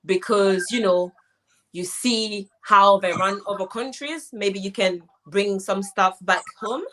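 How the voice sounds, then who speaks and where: A young Haitian woman with a Haitian French accent, a guest talking through questions in a casual, measured way and laughing easily. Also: medium pitch, low-quality recording. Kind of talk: casual interview chat. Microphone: laptop live stream.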